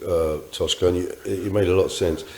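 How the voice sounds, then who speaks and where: A man's voice: deep voice, steady tone, a little fast, a little mellow. Earnest man, roomy sound. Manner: earnest, reflective, talks in long loose runs. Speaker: podcast co-host. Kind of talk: casual group discussion. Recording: roomy echo.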